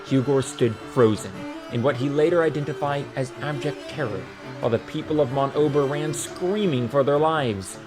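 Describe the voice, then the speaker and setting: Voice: medium pitch, moderate pace, few pauses. An audiobook narrator reading a scripted fantasy story in a dramatic storytelling style. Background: music.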